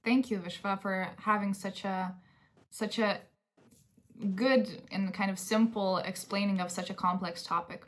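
A woman's voice: mid-range voice, steady tone, a little slow. A young woman from Lithuania, presenting a talk show in a conversational way. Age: young adult. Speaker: co-host. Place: bedroom at home, indoors.

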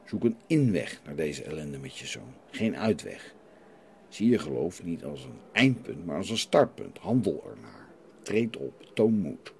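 A man's voice: very deep voice, sing-song, measured pace, gravelly, warm tone, heavily acted. A man playing a stern, defiant renegade priest in a radio play, challenging and preachy as he questions. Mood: admonishing. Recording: light background noise.

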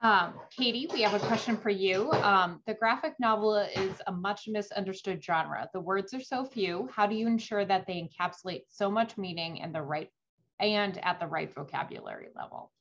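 A woman moderator reading audience questions on a video call, soft and hesitant with ums. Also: mid-range voice, normal speed, highs cut off, slight background noise.

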